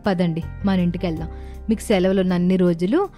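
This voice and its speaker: A woman's voice: fairly low pitch, steady tone, fast talker, mellow, faint music behind, close to an everyday voice. A woman playing a proud, emphatic young aunt in a cartoon moral story. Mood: regretful. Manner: stern, emphatic, scolding. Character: proud, indignant young aunt, quick to soften.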